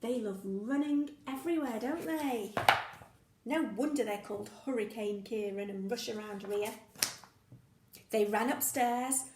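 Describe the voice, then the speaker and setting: Woman, high voice, slow pace, children's story read-aloud, expressive, playful, roomy echo. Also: background noise. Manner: expressive, playful sound effects, exaggerated delivery. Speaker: teacher reading a story to young children. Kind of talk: animated children's read-aloud with questions to the listener.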